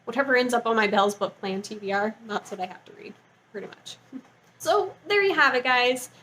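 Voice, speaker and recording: A woman's voice: a little high, lively intonation, fairly quick, bright, forceful tone, slight background noise, rough recording. A woman book vlogger talking casually and informally to her viewers, gushing and saying "like" often. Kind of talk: casual chatty book review to camera.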